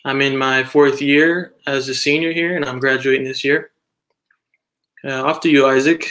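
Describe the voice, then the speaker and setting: Bright-voiced young man, even pitch. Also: moderate pace. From Texas, United States. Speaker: college wheelchair basketball player, guest. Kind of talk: casual self-introduction.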